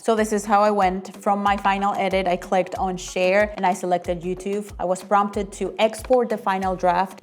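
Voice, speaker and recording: A woman from Colombia, a native Spanish speaker with a Colombian accent, narrating a sponsored tool review in an upbeat, conversational way. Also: mid-range voice. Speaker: content creator reviewing a tool.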